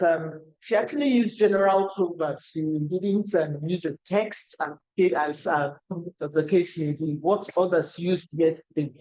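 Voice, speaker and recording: A session chair summing up a paper and introducing the next speaker, pausing often with fillers. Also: mid-range voice. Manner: hesitant, with frequent fillers. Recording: dull, lo-fi conference recording.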